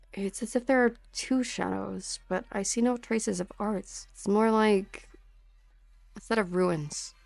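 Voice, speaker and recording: A young mercenary woman acted by a female fan dubber talks with a creaky edge at a medium pitch. She is earnest, intense and defiant, pressing her point in game drama style. The sound is dry, with music behind her. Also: darkish tone, no echo. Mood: troubled.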